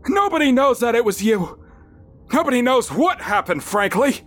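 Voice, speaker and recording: A stern bearded dad, solemn and halting, pitched well above his own voice, with soft music behind. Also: big pitch swings, full character voice. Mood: grave. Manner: slow, solemn pronouncements broken by coughs and hesitations. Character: stern older father, bearded, measured and judgmental. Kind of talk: audiobook character voice.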